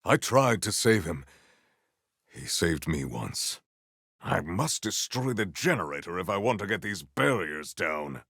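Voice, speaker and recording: An actor voicing a proud, honourable video game warrior who speaks in a formal, archaic, solemn and theatrical way. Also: male voice, low-pitched, big pitch swings, unhurried, fairly bright tone, husky, dry recording, studio quality, exaggerated voice. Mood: brooding, grim. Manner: formal, archaic, grandiose phrasing.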